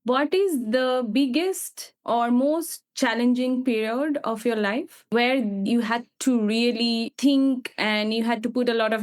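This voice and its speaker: A woman hosts a podcast interview with a fairly high voice. She asks brief, measured questions and lets the guest talk. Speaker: podcast host.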